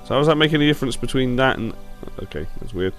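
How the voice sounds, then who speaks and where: A man hosting a gaming show with casual, blunt opinion commentary, slightly croaky from a sore throat. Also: mid-range voice, lively intonation, rapid-fire, fairly bright tone, faint music behind.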